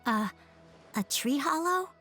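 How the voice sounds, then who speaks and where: A woman voicing a shy, polite little child in a video game, speaking hesitantly with a creaky voice. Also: sits high, animated, takes it slowly, sharp tone, full character voice. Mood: upset, reluctant. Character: shy, polite little child, upset but putting on bravery. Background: music.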